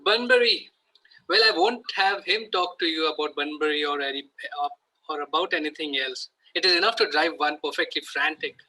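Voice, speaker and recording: A man playing an irritable, stern Victorian gentleman guardian in an amateur table read of a stage comedy, scolding in an exasperated way. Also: on the high side, a little fast, rough recording.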